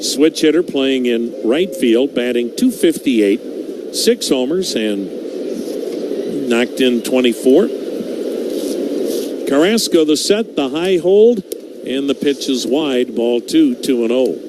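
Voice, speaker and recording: Male American baseball play-by-play announcer. Slow, measured delivery in clipped phrases, over clear stadium background noise. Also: medium pitch, lively intonation. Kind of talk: live play-by-play commentary. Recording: noisy live ballpark ambience.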